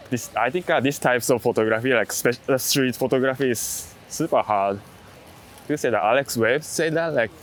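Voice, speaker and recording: A man chatting casually as an interviewed street photographer, in halting non-native English with dropped articles and plurals. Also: medium pitch. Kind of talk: casual chat. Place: outdoors in a busy city. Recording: busy city crowd noise behind.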